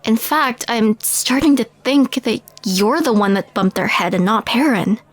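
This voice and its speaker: A woman playing a skeptical, easily shocked alien roommate in a scripted audio drama, questioning her friend with measured disbelief. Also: fairly high pitch, lively intonation, no room sound. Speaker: voice actor in audio roleplay.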